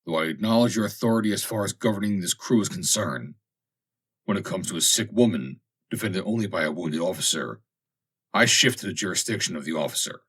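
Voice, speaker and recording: Man playing a big, deep, firm sailor. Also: lively intonation, a little fast, a bit bright, slightly rough, exaggerated voice. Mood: cool defiance. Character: big gruff sailor machinist, fair-minded, firm, cool. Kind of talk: dramatic audiobook character voice.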